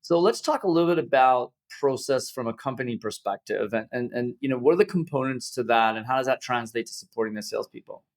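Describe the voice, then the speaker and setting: A man's voice: mid-range voice, animated, quick pace, hardly any pauses. A man hosting an interview podcast. He asks long, winding questions with frequent restarts and recaps what his guest says. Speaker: podcast host.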